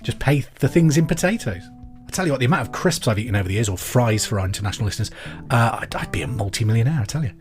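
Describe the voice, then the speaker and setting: A man's voice: medium pitch, animated, rapid-fire, music in the background. A man with a British accent hosting a pub quiz podcast in an informal, chatty way with jokey asides.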